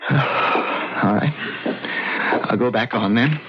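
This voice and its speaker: A man's voice: mid-range voice, drawn-out words, background noise. An actor playing a shaken, bewildered young male pianist, stammering and agitated in old-time radio drama style. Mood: resigned. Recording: dull, muffled old radio recording.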